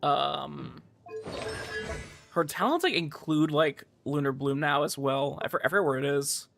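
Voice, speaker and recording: A young man livestreaming a game, chatting casually in a rambling, halting way with animated emphasis. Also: on the high side, creaky, faint music behind. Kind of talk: casual livestream chat over gameplay.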